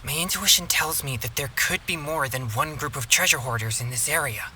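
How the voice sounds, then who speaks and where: An actor playing an earnest, observant young exorcist in a video game, reasoning things out calmly. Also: male voice, medium pitch, fairly quick, a little hiss or hum.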